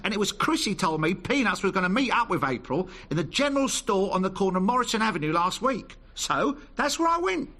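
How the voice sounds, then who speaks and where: An actor playing an indignant petty crook in a radio drama, protesting in slangy, plain speech. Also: male voice, high-pitched, very animated, brisk, few pauses, bright, forceful tone, heavily acted. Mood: defensive.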